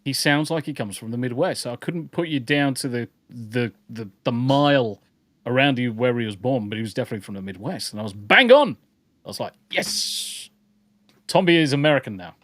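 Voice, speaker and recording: A man's voice: mid-range voice, expressive, fairly quick, fairly bright tone, no echo. A man co-hosting a casual podcast livestream, joking and swearing freely while greeting viewers in chat. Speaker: podcast co-host.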